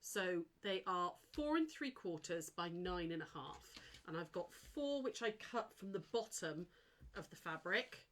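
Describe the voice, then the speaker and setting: A woman's voice: on the low side, animated, unhurried, fairly bright tone. Snuffly woman teaching sewing live. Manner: chatty and apologetic, chats with live viewers while demonstrating. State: snuffly, blocked nose. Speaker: sewing teacher hosting a live craft demo. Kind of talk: casual live-stream tutorial.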